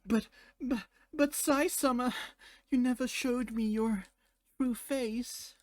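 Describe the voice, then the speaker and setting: A man's voice: very high voice, steady tone, slow, no echo, clean studio sound, voice pushed up. A man voicing a coy, lovestruck anime sweetheart, simpering and pleading in a romance parody.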